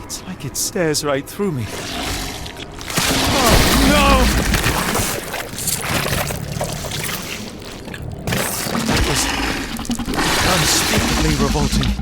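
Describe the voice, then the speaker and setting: An actor playing a shrewd, driven private detective in a video game, speaking in a formal, precise and coolly commanding way. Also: male voice, a little high, background noise. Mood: uneasy, awed. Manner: formal, precise, deductive delivery. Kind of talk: dramatic video game voice acting. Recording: music bed under the voice.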